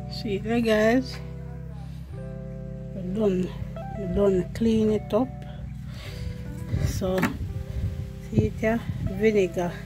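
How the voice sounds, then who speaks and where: A Jamaican woman casually chatting to her viewers in patois while cooking outdoors, filming on a handheld phone. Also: medium pitch. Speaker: cooking vlogger. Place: outdoor yard kitchen. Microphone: phone held in one hand while filming.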